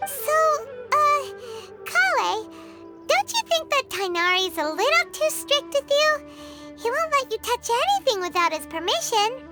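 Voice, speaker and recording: A woman voicing a tiny, excitable, childlike fairy companion in a video game, squeaky and piercing, speaking of herself in the third person. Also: unhurried, full character voice. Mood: chatty. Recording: background music under dialogue.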